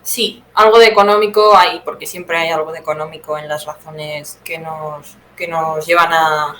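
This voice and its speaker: A woman's voice: deep voice, steady tone, moderate pace, fairly bright tone. A young woman from Teruel with an accent from Spain, answering interview questions conversationally as a guest playwright. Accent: Spanish from Spain. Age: young adult. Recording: roomy echo, clear background noise.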